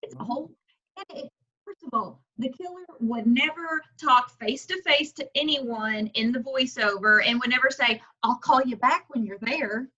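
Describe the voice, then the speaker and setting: Higher-pitched bright female, blunt sweary casual chat, slightly muffled recording. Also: normal speed. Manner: blunt, foul-mouthed, incredulous.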